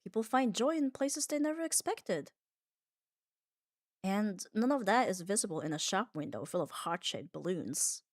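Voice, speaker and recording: A woman's voice: mid-range voice, expressive, no room sound. Soothing woman, close and dry. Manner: reassuring, soothing, unhurried. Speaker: ASMR audio creator. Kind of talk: calm ASMR comfort monologue.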